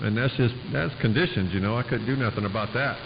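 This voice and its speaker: A man's voice: medium pitch, fast. A man chatting casually on a two-way radio in a folksy, rambling way full of radio lingo. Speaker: amateur radio operator. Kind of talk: casual radio chat between operators. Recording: muffled radio transmission with clear static noise.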